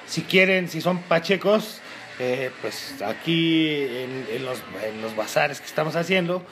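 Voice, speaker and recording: Chill mexican guy being interviewed, kinda high voice, lots of ums, noisy spot. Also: lively intonation, unhurried, a bit bright. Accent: Mexican Spanish. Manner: easygoing, hesitant, many fillers. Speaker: guest. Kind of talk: casual interview chat.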